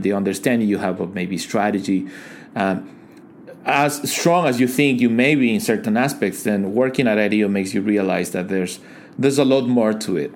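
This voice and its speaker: Low-voiced man. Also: darkish tone, slight background noise. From Ecuador. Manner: thoughtful, halting, many fillers and restarts. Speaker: guest, digital design leader. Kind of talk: casual interview conversation.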